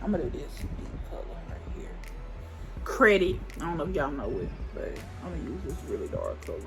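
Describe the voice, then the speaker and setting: A woman's voice: low-pitched, big pitch swings, a little fast, over music. A woman in her twenties chatting casually to camera while doing her makeup, rambling and restarting her sentences, with speech. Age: 20s. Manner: rambling, thinks aloud with many restarts and "like". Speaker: beauty vlogger.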